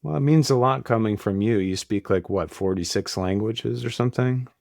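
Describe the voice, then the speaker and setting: A man's voice: fairly low pitch, moderate pace, vocal fry, darkish tone, no room sound. A middle-aged man hosting a podcast interview over a video call, reflective and earnest. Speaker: podcast host. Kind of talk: interview conversation. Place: room with bookshelves.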